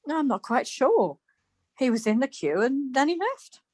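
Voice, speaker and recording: A woman's voice: high voice, very animated, moderate pace, a little mellow, breathy edge, no echo, heavily acted. A woman acting a scatty, easily exasperated wife in a domestic radio comedy. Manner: flustered, snippy.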